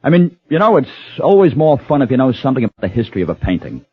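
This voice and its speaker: Hesitant husband, dull radio sound. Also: male voice, mid-range voice, big pitch swings, fast talker, breathy edge. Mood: hesitant, eager. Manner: hesitant, stammering. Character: wistful middle-class husband obsessed with a painting. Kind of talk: radio drama acting. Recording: dull lo-fi radio recording, music behind.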